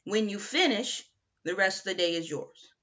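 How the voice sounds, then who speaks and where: A gruff, domineering camp boss in a cowboy hat, played by a woman reading a storybook, giving blunt orders. The delivery is punchy and swings widely in pitch, and the home recording sounds dull. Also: animated, moderate pace, a bit bright, natural voice. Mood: stern, bossy. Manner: commanding, blunt.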